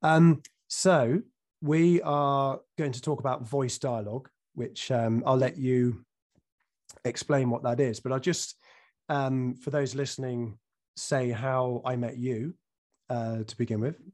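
A man hosts a podcast in a relaxed, hesitant way, his voice croaky from a recent cold. He fills gaps with ums and often restarts his sentences. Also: medium pitch, slow pace. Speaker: podcast host. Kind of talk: casual interview.

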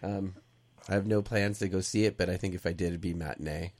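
A playful man on a movie review panel who acts out what he yelled at the screen. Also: deep voice, fairly even intonation, fast talker. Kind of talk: casual movie review chat.

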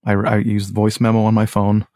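A man with a low voice, a musician guest talking in a laid-back, rambling way with many fillers. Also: completely flat delivery, normal speed, warm tone, no echo, studio quality. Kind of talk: casual podcast chat.

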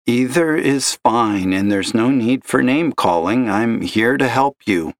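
A man's voice: medium pitch, lively intonation, a little slow, no room sound, studio quality. A man doing a chipper call center agent. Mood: chipper, strained. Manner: polite, chipper and patient. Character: upbeat young male customer service agent. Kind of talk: audio story reading.

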